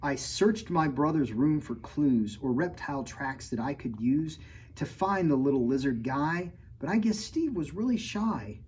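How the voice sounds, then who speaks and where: A man's voice: fairly high pitch, expressive, a little slow, darkish tone, breathy edge, heavily acted. A man reading the rhyming picture-book part of an innocent, self-justifying three-year-old girl in a sing-song cadence. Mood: explaining, dismayed.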